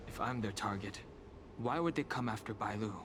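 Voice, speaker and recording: A man voicing a solemn young dragon-heir warrior in a video game, speaking in a formal, measured way. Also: on the low side, noisy background, natural voice. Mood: grave, composed. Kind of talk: video game character acting. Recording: game music behind the voice.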